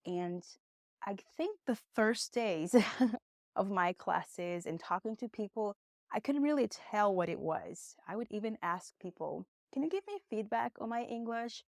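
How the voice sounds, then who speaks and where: A young woman, a Portuguese speaker, giving a conversational online English lesson with encouraging, expressive emphasis. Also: mid-range voice, measured pace, dry recording, studio quality. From Brazil. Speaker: English teacher.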